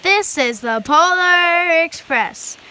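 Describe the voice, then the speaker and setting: Storybook train conductor, played by a woman: commanding, beckoning, loud and high, faint noise behind. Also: animated, full character voice. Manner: loud, drawn-out calling. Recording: noisy amateur home recording.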